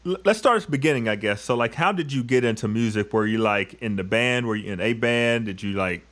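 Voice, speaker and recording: A man's voice: mid-range voice, fast talker, a bit bright. A man hosting a podcast, talking in an upbeat, slangy, friendly way. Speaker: podcast host. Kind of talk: casual podcast interview.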